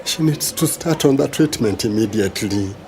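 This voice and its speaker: A man's voice: on the low side, animated, normal speed, a crowd in the background. A man with a Ugandan accent acting an anxious, emotive husband in a radio drama. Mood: shocked, distressed. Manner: emotive, full of interjections. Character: anxious husband awaiting news of his sick wife.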